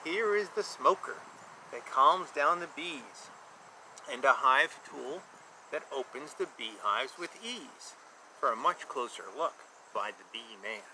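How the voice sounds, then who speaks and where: A man's voice: mid-range voice, expressive, measured pace, pushed and bright, rough recording. A man in his fifties reading a children's book aloud, with simple, repetitive, emphatic explanations for young listeners. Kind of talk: read-aloud storytelling with explanatory asides. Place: outdoor backyard beside a beehive. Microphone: camera at about arm's length. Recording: outdoor noise with insect chirps.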